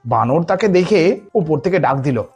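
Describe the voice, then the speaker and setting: A man narrating a scripted children's story in an animated, husky voice. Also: fairly high pitch, moderate pace, faint music behind.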